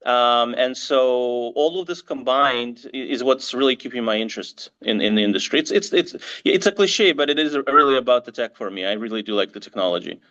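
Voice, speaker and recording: A man's voice: mid-range voice, lively intonation, fairly quick, hardly any pauses, bright, forceful tone. Middle-aged man, interview guest, thoughtful, frequent ums, resonant voice, dull lo-fi sound. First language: English and Russian. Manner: thoughtful, many restarts and ums. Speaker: marketing agency CEO, guest. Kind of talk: casual interview answer.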